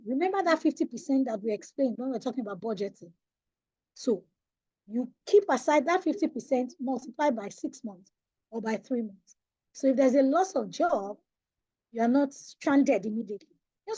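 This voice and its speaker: A woman's voice: high voice, warm tone, slightly rough, no room sound, muffled recording. A Nigerian woman coaching young people on money in an online webinar, emphatic and preacherly, often putting questions to her listeners. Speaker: financial coach. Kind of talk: webinar talk on personal finance. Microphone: online webinar audio.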